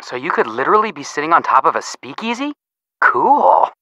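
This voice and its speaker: A man's voice: a little high, big pitch swings, fairly quick, pushed and bright, dry recording, heavily acted. A man playing a teasing, sarcastic young amateur detective who wisecracks playfully in a game. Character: wisecracking, teasing young male sleuth brother. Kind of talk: scripted game voice acting.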